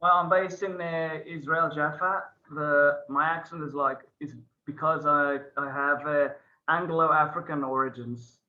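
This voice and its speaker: A man musician speaking haltingly with many fillers on a call. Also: fairly high pitch, fairly even intonation. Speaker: musician guest. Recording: dull lo-fi call audio.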